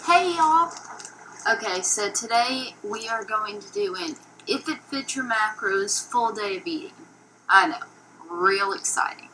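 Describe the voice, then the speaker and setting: A young woman narrating a casual food vlog in a flat, low-energy way, with many ums. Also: a little slow, slight background noise, poor audio. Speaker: YouTuber. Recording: old video played from a phone.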